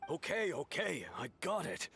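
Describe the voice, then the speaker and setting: A creaky, gruff male voice with lively pitch swings, set over music. He is a snide, grumbling shady buyer in a game, dismissive and argumentative. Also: normal speed. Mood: defiant. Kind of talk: scripted game voice acting.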